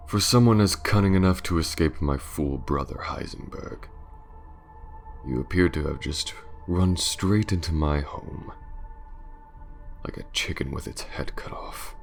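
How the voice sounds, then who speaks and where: An aristocratic male vampire with an extremely low, gravel-edged voice. He drawls slowly and pompously, sinking into breathy whispers as he smugly taunts his prey. It is an intimate, sinister ASMR roleplay over faint music. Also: heavily acted. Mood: smug welcome.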